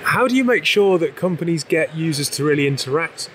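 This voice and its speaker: A man hosting a podcast, interviewing guests on a conference floor in a quick, friendly, loose way with some restarts. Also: a little high, expressive, fairly bright tone, a little hiss or hum. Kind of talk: conversational interviewing.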